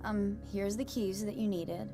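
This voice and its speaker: An uneasy, apologetic game character with a medium pitch. She speaks haltingly and sheepishly over music. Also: steady tone, vocal fry. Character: hesitant, apologetic woman confessing to the hero. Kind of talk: video game cutscene acting.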